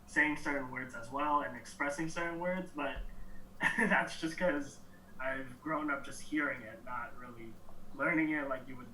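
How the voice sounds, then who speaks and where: A young man from New York answering interview questions in a relaxed, halting way, with soft laughs between thoughts. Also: mid-range voice, a little hiss or hum. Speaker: interview guest. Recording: echoey room, mic at a distance.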